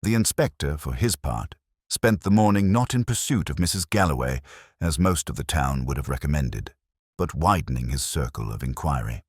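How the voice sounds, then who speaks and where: A man narrating a mystery story in a measured, expressive way. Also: very deep voice, breathy edge, no echo, studio quality.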